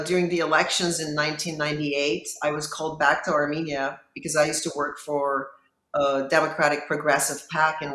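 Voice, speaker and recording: A woman's voice: sits low, fairly bright tone. A woman in her fifties from Lebanon, a judge, telling her personal story in an earnest, reflective way in an interview. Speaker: judge and guest. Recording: roomy, echoey sound.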